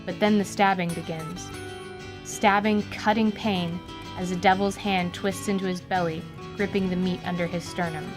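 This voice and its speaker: A woman giving vivid, deliberate scripted narration for a fantasy radio drama. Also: lowish voice, steady tone. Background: music.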